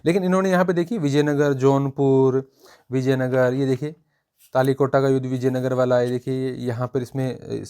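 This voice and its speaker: A man's voice: mid-range voice, fairly even intonation, moderate pace, warm tone, clear, resonant tone. A man giving an informal educational review, explaining point by point and often repeating himself. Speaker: exam-prep book reviewer.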